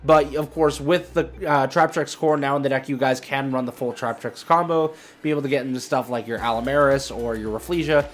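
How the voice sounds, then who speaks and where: A man's voice: mid-range voice, fairly even intonation, a little fast, few pauses, a little hiss or hum. A young man hosting a gaming video, giving casual, rambling commentary as he thinks aloud. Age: young adult.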